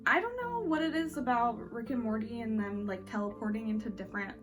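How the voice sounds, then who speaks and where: A woman's voice: on the high side, animated, brisk. Chatty woman reviewing books over music. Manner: informal, conversational, wry asides. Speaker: book vlogger. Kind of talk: casual chatty book review.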